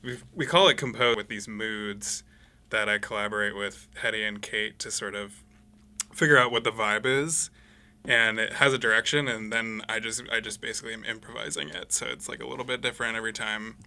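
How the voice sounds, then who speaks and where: A man being interviewed as a musician guest, talking casually and haltingly as he searches for words. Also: lowish voice, bright, forceful tone. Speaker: musician guest, saxophonist for a radio play. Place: radio studio.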